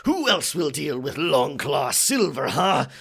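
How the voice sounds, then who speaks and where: A man playing a boisterous, rum-swigging pirate captain in a roleplay audio drama, with a gravelly voice, theatrical yet hesitant, muttering in pirate slang. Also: fairly high pitch, big pitch swings, measured pace, fairly bright tone, full character voice. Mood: worried bluster.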